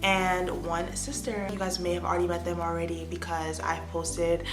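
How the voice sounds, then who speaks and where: A young American woman in her twenties chatting casually to the camera as an influencer, answering fan questions with animated bursts of excitement. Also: fairly low pitch, normal speed, roomy sound, over music. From United States. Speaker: lifestyle influencer. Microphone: phone or tablet camera.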